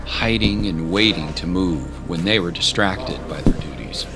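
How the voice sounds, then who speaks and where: A man reading scripted dramatic narration of a fantasy adventure in a measured, deliberate way with pauses for effect. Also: deep voice, animated, vocal fry. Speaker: storyteller, narrator.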